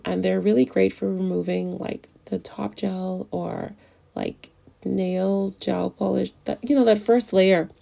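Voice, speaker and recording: Woman, gravelly mellow voice, casual nail tutorial chat, getting over a flu. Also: medium pitch. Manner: chatty, enthusiastic about products. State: voice recovering from flu.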